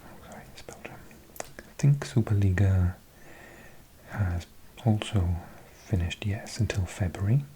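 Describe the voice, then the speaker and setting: A Welsh man in his forties, whispering softly as an ASMR host, slowly reading out a list of results with chatty asides. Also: deep voice, lively intonation, slight background noise. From Wales.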